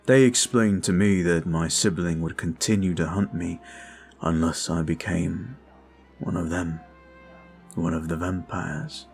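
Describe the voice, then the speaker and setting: A man voicing a brooding male vampire in an ASMR roleplay, talking in a slow, intimate, confiding way. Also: sits low, fairly even intonation, gravelly, a little mellow, soft background music.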